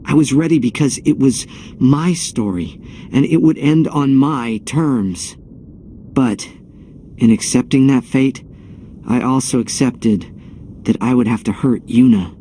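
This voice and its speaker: An actor playing an earnest young hero in a game cutscene, reflective and heartfelt, with dramatic pauses. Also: male voice, mid-range voice, mellow, a little hiss or hum, close to an everyday voice. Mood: wistful, sorrowful. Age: young adult. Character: earnest young male hero, determined and wistful. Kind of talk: scripted game cutscene acting.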